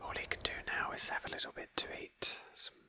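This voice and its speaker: A woman narrating a roleplay game playthrough in a breathy ASMR whisper, slowly and with pauses. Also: sharp tone.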